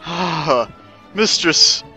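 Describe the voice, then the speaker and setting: A man's voice: on the high side, expressive, very slow, bright, forceful tone, soft background music, pitched above the performer's own voice, full character voice. A man voicing a devoted, flustered young servant girl who speaks in deferential exclamations in a story reading. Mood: embarrassed.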